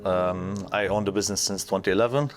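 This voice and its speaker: A man who owns a renovation business, speaking earnestly in simple, slightly halting phrases. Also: sits low, fairly even intonation. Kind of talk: company testimonial interview. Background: clear guitar music.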